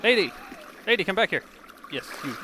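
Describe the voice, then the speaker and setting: A man's voice: sits high, sing-song, a little fast. A man from Canada giving casual let's play commentary as host of a gaming channel. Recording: clear game water noise behind voice. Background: video game sound.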